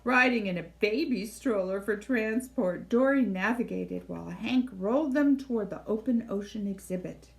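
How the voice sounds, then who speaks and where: A woman reading a children's story aloud in an expressive, measured storytelling style, with deliberate phrasing. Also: mid-range voice, vocal fry, poor audio. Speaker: library storybook reader. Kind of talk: expressive scripted read-aloud narration for children.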